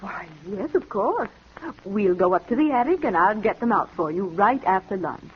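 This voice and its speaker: An actress playing an anxious, gentle, trusting sister in an earnest, theatrical 1950s radio drama style. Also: female voice, mid-range voice, animated, moderate pace, lightly acted. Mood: gently concerned. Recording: muffled, noisy vintage radio recording.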